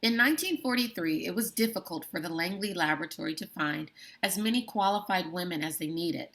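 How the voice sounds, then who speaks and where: A woman teacher reading a chapter of a book aloud to her students, slow and deliberate, stressing key words and adding brief asides. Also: fairly low pitch, fairly bright tone. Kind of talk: classroom read-aloud of a book.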